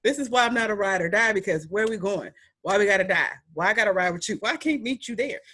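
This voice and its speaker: A young woman with a deep voice hosting a podcast, chatting in an animated, emphatic way with playful repetition. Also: quick pace. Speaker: podcast host. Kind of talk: casual chat.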